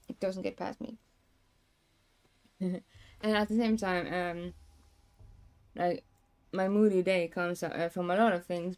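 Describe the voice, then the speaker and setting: A young woman, hoarse today, chatting in a rambling, casual way with many fillers and restarts. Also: medium pitch, warm tone. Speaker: streamer. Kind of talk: casual chat.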